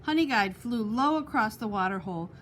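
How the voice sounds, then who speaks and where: Expressive woman reading a picture book, high-voiced. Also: a little fast, slight background noise. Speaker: storytime reader. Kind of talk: expressive children's picture book read-aloud.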